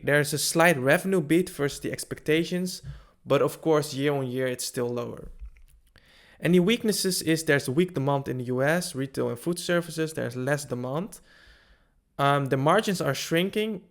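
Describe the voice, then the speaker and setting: A man hosting a financial analysis video, explaining points in plain, step-by-step fashion. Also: medium pitch. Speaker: host of a stock analysis video.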